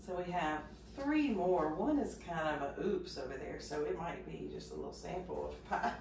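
A middle-aged woman casually talking through a cooking demonstration in her home kitchen, unhurried and unsure of herself in little asides. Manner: unhurried, self-doubting asides, lively pitch. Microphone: far camera. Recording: echoey kitchen, camera far off, background noise.

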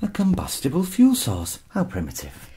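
Pompous, sneering, husky robotics designer. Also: male voice, high-pitched, huge pitch swings, normal speed, warm tone, heavily acted. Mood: condescending. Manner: haughty, sarcastic, exasperated. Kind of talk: audio drama acting.

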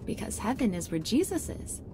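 A woman playing a gentle, patient mother in an audio drama, soothing and reassuring. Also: a little high, big pitch swings, fairly quick, creaky, noisy background. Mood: gentle, consoling.

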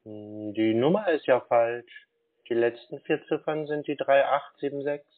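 Male, slow, dithering customer type on a muffled phone connection, halting and repetitive. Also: medium pitch. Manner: dithering, halting, repeats himself. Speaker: scam baiter playing a gullible customer. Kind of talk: phone call, slow put-on pensioner act. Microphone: phone call. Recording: dull band-limited phone line.

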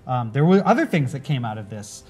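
A man's voice: medium pitch, very animated, brisk, a little mellow, soft background music. A man in his forties hosting a conversational on-camera interview, seated indoors. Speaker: host, game company creative director.

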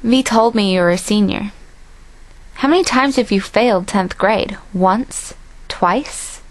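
A woman's voice: mid-range voice, a little slow, dry recording, close to an everyday voice, poor audio. Woman acting guarded teenage heroine. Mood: challenging. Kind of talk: amateur audition line reading.

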